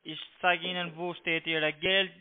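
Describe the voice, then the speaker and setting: A man with a foreign accent, not a native speaker, making a halting, repetitive phone pitch over a narrow tinny line. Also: flat delivery. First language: not German. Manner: halting broken German, repetitive. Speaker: scam call-centre agent. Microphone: telephone.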